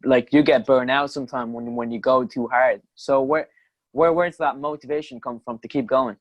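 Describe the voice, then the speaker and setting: A man's voice: medium pitch, fairly even intonation, moderate pace, no echo, muffled recording. Affirming male interviewer, dry sound. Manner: affirming, short questions. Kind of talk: casual interview.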